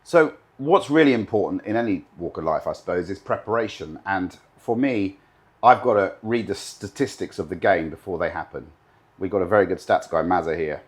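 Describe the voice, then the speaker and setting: A man's voice: low voice, a little fast, slightly rough. A man in his fifties, raised in Britain, a live TV director answering interview questions confidently, often stacking points in emphatic lists.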